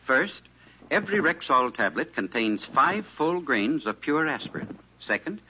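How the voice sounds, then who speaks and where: A man's voice: fairly high pitch, sing-song, bright, forceful tone. A man announcing a commercial in emphatic, old-time radio ad style. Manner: emphatic, measured enumeration. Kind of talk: 1940s-50s radio ad delivery. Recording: muffled, noisy old radio recording.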